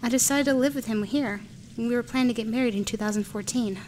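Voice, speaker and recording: A woman's voice: a little high, fast talker, a little mellow, a little hiss or hum, lightly acted. A woman with an American accent playing a sad, sympathetic young woman in an amateur radio play.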